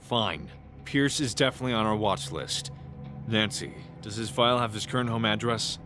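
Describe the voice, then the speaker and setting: A man's voice: lowish voice, moderate pace, music in the background, lightly acted. A man playing a protective, stubborn police detective in an audio crime drama, with an intense, questioning manner. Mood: questioning, sceptical.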